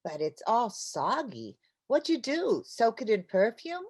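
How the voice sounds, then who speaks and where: Slow-paced, lively meddling housewife played by a woman. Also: fairly high pitch, husky, exaggerated voice. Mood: wry. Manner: wry, emphatic. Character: well-meaning meddling housewife, practical and easily exasperated. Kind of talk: old-time radio comedy acting.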